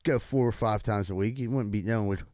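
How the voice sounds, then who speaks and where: A man's voice: lowish voice, fast, mellow, no echo, clean studio sound. A man in his early forties hosting a casual, crude comic talk show with loose, bantering delivery. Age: early 40s.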